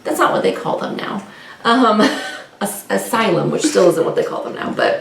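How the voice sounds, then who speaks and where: A woman's voice: medium pitch, expressive, normal speed, vocal fry. A woman co-hosting a book vlog, chatting casually and informally in a rambling way, often correcting herself. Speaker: co-host of a book discussion vlog. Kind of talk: casual unscripted book chat. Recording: roomy, distant mic with faint noise.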